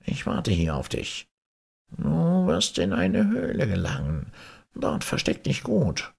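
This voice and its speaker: A man voicing a lame, wise old frog in a fairy tale, husky and strained, with a drawn-out croaking delivery. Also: sits low, wildly swooping pitch, a little mellow, voice pushed up, exaggerated voice. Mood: instructing.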